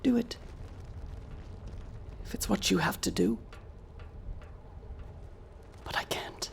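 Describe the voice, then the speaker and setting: A woman's voice: a little high, huge pitch swings, slow pace, gravelly, slight background noise, heavily acted. An actress playing a proud, guilt-ridden female space mission commander with a clipped, formal manner in an audio drama. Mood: anguished. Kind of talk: naturalistic audio drama acting.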